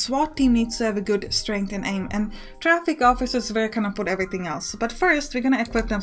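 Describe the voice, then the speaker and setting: A woman giving casual let's play commentary, explaining a game as she plays it. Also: on the high side.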